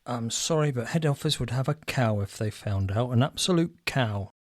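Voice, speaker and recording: A man's voice: mid-range voice, animated, moderate pace, close to an everyday voice. A man playing a meek, henpecked shop supervisor in an audio drama, polite and anxious, with a mild, hesitant manner. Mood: anxious, firm.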